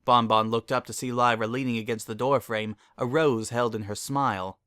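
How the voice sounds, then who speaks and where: A man's voice: mid-range voice, steady tone, moderate pace, fairly bright tone, smooth, no echo, clean studio sound. A man narrating a scripted dramatic reading of a story.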